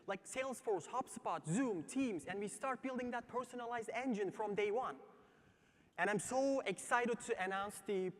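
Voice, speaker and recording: A man from Turkey with a Turkish accent, delivering an emphatic startup pitch on a theatre stage. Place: large theatre stage before live audience. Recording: long reverberant theatre hall.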